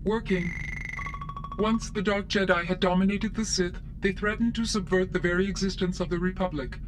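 An actor voicing an obedient, formal ship computer in an audio drama, reciting in a precise, impersonal way. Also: male voice, high-pitched, fairly even intonation, normal speed, music in the background, close to an everyday voice. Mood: impassive. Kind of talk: scripted narration in audio drama.

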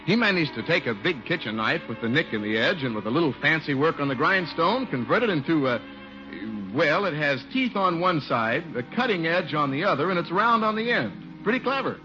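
Bright, animated old-time radio announcer man. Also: on the high side, a little fast, soft background music. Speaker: radio announcer and sponsor pitchman. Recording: muffled old radio broadcast.

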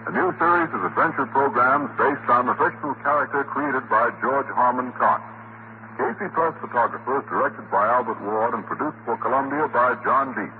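A man announces credits in 1940s radio style. His medium-pitched voice has a clear creak. The recording is thin and phone-like, with faint noise. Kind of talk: 1940s scripted closing credits.

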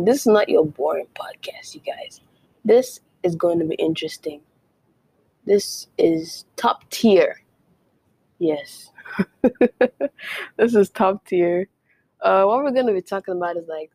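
A young woman from Nigeria talks through her own podcast in an easy, chatty way. She drops in playful whispered asides. Her voice sits a little low and comes out slow and husky. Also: warm tone, dry recording, poor audio. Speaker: podcast host. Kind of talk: casual solo podcast chat.

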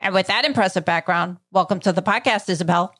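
A woman's voice: on the low side, quick pace, fairly bright tone, dry recording, studio quality. A woman hosting an interview podcast, introducing and questioning her guest. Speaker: podcast host.

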